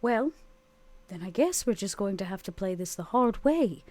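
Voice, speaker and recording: A woman voicing a haughty, coolly menacing vampire in a tabletop roleplay, deliberate, formal and condescending. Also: mid-range voice, lively intonation, mellow, close to an everyday voice. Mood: cool menace.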